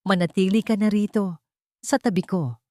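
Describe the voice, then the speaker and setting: A woman's voice: medium pitch, moderate pace, darkish tone, no room sound, studio quality, natural voice. A woman voicing a tender, lovestruck man in a romantic story, earnest and poetic.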